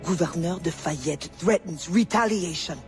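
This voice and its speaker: A woman's voice: lowish voice, unhurried, close to an everyday voice. A woman playing a worried, cautious ally of rebels in a video game, grave and pleading. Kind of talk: dramatic video game acting. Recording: game music behind the voice. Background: game music.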